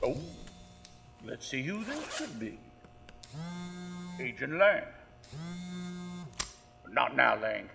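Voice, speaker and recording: An actor playing a sadistic killer sheriff with a theatrical, taunting drawl in a horror audio drama. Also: male voice, mid-range voice, wildly swooping pitch, extremely slow, gravelly, long pauses, noisy background, full character voice. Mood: quiet, scheming. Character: sadistic cannibal killer sheriff, a man, smugly menacing. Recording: long hall reverb, music underneath.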